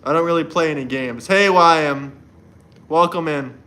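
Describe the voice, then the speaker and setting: A man's voice: on the high side, normal speed, sharp tone. A young man from Florida hosting a casual livestream, chatting loosely and answering viewer comments, often repeating himself. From Florida, United States. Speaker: livestream host. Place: indoors in Montenegro.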